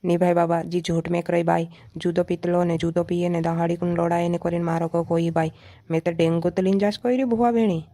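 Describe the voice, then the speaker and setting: A woman's voice: on the low side, flat delivery, fast, dark tone, close to an everyday voice. A woman voicing a stubborn, argumentative young village son in a cartoon comedy, always complaining and answering back.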